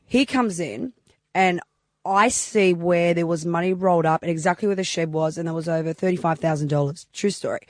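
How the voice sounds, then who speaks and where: A woman raised in Australia, a guest on a radio show, telling animated stories in casual chat. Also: on the low side, brisk, dry recording, studio quality. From born in Croatia, raised in Newcastle, Australia. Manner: chatty, animated storyteller.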